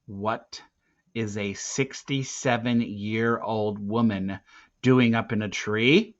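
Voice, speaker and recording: A gruff, skeptical police officer, acted by a man reading a novel aloud. He questions bluntly and with open disbelief, bemused and suspicious. The sound is a little muffled. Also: on the low side, fairly even intonation, slow. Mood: incredulous. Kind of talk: acted dialogue in an audiobook read-aloud. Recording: slightly muffled, dull recording.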